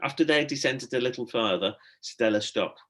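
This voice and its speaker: A male teacher reads a storybook to children. His voice sits at an average pitch, and the narration is expressive and meant for young listeners. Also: brisk. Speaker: primary school teacher reading aloud.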